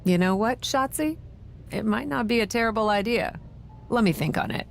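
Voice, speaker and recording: A woman's voice: on the high side, lively intonation, moderate pace, creaky, a little hiss or hum. A woman playing a wry, conflicted wrestler turned general manager in a video game, skeptical and dry in manner. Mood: wry, firm. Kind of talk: video game cutscene acting.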